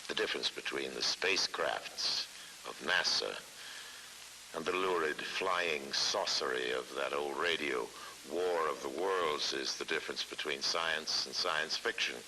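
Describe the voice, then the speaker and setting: A man reading scripted documentary narration in a measured way, with dramatic pauses. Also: very deep voice, sharp tone, poor audio. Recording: hissy vintage 1970s film soundtrack.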